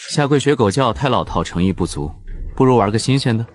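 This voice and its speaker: An actor playing a shrewd, composed young hero with a cool, probing manner, in an animated drama. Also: male voice, mid-range voice, fast, no room sound, lightly acted. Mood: cool, challenging. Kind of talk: audio-drama dialogue for animated story.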